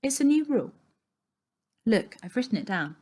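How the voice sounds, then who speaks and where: A firm storybook aunt, acted by a woman reading aloud. She is bossy and brisk, with a commanding way of talking. There is kindness beneath her no-nonsense orders. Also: on the high side, very animated, dark tone, slightly rough.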